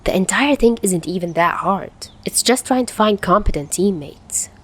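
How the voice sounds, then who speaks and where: A woman voicing a cocky, teasing teenage schoolboy in an audio story, loud and animated. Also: medium pitch, moderate pace, husky. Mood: heated rambling.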